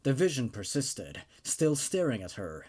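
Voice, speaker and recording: A man presents horror stories in an expressive, emphatic style. His voice sits at a medium pitch and moves very freely up and down as he narrates or comments. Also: a little slow. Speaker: host reading and reviewing horror stories. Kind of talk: dramatic horror story narration with casual commentary.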